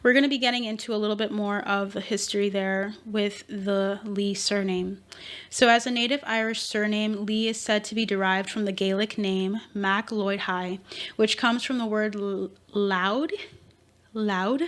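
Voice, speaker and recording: A woman's voice: mid-range voice, steady tone, normal speed. A woman giving an intuitive reading mixed with history research, thinking aloud, rambling, with fillers and self-corrections. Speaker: intuitive reader. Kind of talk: tarot-style intuitive reading and history talk.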